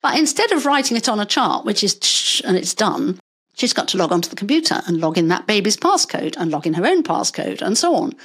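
An older woman, a retired paediatrician, talking through her working life in a measured, explanatory way, with stories along the way. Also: medium pitch, big pitch swings, fairly bright tone, husky. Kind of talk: conversational interview.

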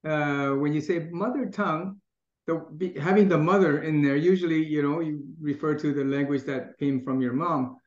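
A man's voice: on the high side, steady tone, normal speed. A middle-aged man from Taiwan, talking in a casual interview in a thoughtful way, with many restarts and easy laughs. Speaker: guest. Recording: echoey room, dull lo-fi sound.

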